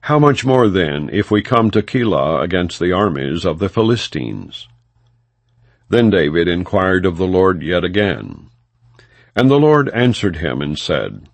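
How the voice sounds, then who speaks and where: A man with a low voice narrates scripture in a formal, measured way. His pitch moves expressively through each phrase, yet he reads plainly as a narrator without acting out parts. The recording is close and dry, with no room sound. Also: vocal fry, no room sound. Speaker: audiobook Bible reader. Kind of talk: non-dramatized scripture narration.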